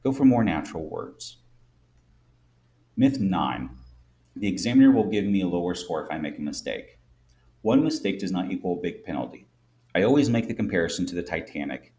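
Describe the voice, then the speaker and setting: Deep-voiced Midwestern American man teaching. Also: lively intonation, brisk, pauses often, dark tone. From United States. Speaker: English teacher. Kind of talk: scripted explainer lesson.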